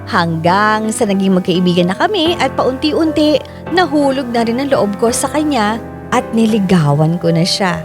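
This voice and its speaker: A woman's voice: a little high, animated, normal speed. Woman narrating over prominent music. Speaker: letter narrator in radio drama. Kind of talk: scripted first-person storytelling.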